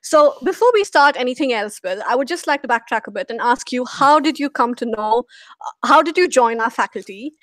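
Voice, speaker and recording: A young woman with an Indian accent, moderating a live online question-and-answer session, speaking in a measured way with small fillers. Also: fairly high pitch, animated, fairly bright tone, no room sound. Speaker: moderator, program manager. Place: office.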